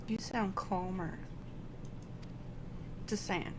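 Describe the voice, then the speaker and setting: A woman streaming a game, giving casual live commentary in a quiet, offhand, dryly joking way. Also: mid-range voice, fairly even intonation, drawn-out words. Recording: clear background noise from game.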